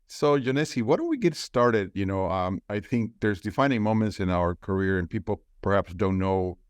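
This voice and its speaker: A man from Peru hosting an interview podcast, talking in a halting, conversational way with frequent restarts and fillers. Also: fairly low pitch, animated, clean tone, no room sound, studio quality. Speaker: podcast host, investment firm co-founder.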